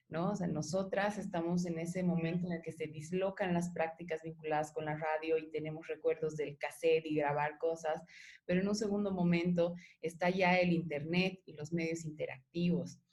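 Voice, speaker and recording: A woman with a Bolivian Spanish accent and a notably low voice speaks as an interviewer. She is articulate and discursive, developing her thoughts reflectively and checking in with little tag questions. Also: a little mellow. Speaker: interviewer, host of a radio art programme.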